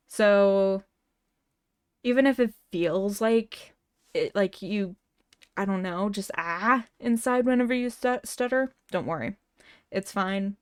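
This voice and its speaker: Slow-talking woman streamer who stutters. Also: medium pitch, smooth, dry recording, clean studio sound. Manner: stutters often, with many restarts and fillers; laughs often, playful and chatty with viewers. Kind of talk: casual livestream chat, reading chat messages and a story aloud.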